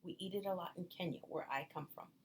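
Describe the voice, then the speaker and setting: A woman's voice: on the low side, quick pace, close to an everyday voice, low-quality recording. A woman reading a children's storybook, voicing a kindly, welcoming mother, with some background noise. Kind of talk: children's storybook read-aloud.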